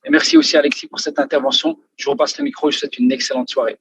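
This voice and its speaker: A man giving an emphatic motivational sales pitch on a video call, often checking in with the audience by asking "ok?". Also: sing-song, racing, vocal fry, breathy edge, no echo. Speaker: company manager giving a testimony. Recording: dull, dry video-call audio.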